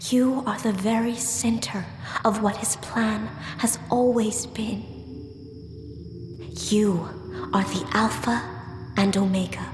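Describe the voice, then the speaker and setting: A woman playing a tender, reverent otherworldly comforter in a biblical audio drama, in a breathy whisper. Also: medium pitch, fairly even intonation, slow pace, long pauses, lightly acted. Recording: long cavernous reverb, music behind. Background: music.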